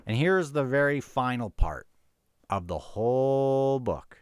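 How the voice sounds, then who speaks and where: A man's voice: medium pitch, animated, takes it slowly, smooth, dry recording, studio quality. A man casually talking through a book and reading bits aloud, informal and musing, sometimes stumbling over his words. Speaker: host of a book review show. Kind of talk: casual book discussion with read-aloud passages.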